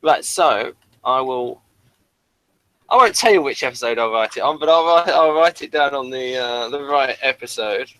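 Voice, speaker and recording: A man's voice: medium pitch, normal speed, fairly bright tone, clear, resonant tone, dry recording, rough recording. A man co-hosting a casual review show, often stammering and restarting his phrases as he explains. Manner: stammering, repeats phrase starts. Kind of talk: casual unscripted review chat.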